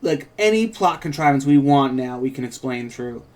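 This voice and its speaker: A man's voice: medium pitch, lively intonation, normal speed, darkish tone. A man co-hosting a script reading, talking casually and thinking aloud in loose, rambling sentences. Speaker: co-writer and host. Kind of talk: casual creative discussion and script narration.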